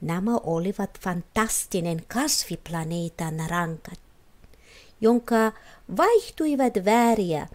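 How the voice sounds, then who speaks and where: Woman telling a fairy tale, lively intonation. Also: medium pitch. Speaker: storyteller narrating a children's fairy tale. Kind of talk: expressive scripted storybook narration.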